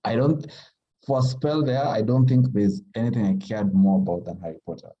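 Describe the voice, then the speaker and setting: Soft-spoken man, dark mellow voice. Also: fairly low pitch, steady tone, lo-fi sound. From Kenya. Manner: halting, thoughtful, heavy with fillers. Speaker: writer, podcast guest. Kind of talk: casual conversation.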